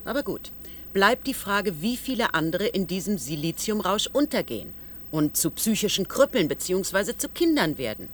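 A woman's voice: mid-range voice, very animated, moderate pace, fairly bright tone, slight background noise. An actress playing an earnest, challenging female doctor in a radio drama, critical and insistent. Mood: reproachful.

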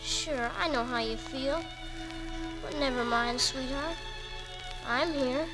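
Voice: high-pitched, slow pace, poor audio. A young performer playing a loyal, plucky small boy in a 1940s radio drama, blunt, slangy and earnest. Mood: consoling. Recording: vintage 1940s radio broadcast, music.

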